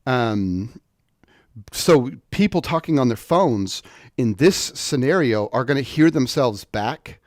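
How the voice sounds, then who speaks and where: A man with an average-pitched voice walks through a technical tutorial in a dry, close recording. He explains informally and off the cuff, often halting to think aloud and rephrase. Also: animated, no room sound. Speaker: product tutorial presenter.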